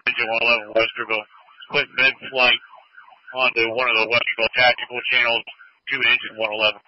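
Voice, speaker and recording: A man's voice: mid-range voice, little pitch movement, fast, dry recording. A man in a fire and rescue unit calling in over a two-way radio. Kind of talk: emergency radio traffic. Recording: muffled scanner radio audio.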